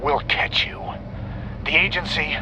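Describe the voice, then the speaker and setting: An actor playing a defiant captive government agent in a video game, strained and halting. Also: male voice, fairly high pitch, steady tone, noisy background, lightly acted. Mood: desperate, defiant.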